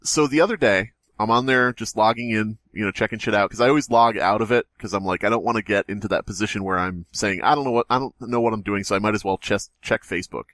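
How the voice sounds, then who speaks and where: A man's voice: fairly low pitch, quick pace, few pauses, no room sound. A man hosting a podcast, telling stories in a casual, sarcastic, sweary comic chat. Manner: animated, sarcastic storytelling, profane. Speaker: podcast host.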